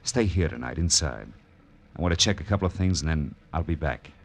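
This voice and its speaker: A man's voice: very deep voice, expressive, fairly quick, creaky, slight background noise, heavily acted. An actor playing a hardboiled, wry male private detective who narrates an old-time radio drama in terse, slangy phrases. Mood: cool, guarded. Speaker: lead and narrator. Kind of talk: 1940s-style radio detective narration and dialogue.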